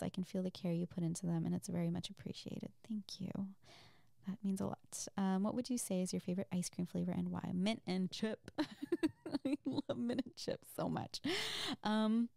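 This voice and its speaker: Soft mellow lady doing a chatty q&a, rambly and giggly, super close dry sound. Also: medium pitch, gravelly, no echo. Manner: giggly, appreciative, rambling and hesitant. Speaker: audio creator and host. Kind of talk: casual chat, reading and answering listener questions.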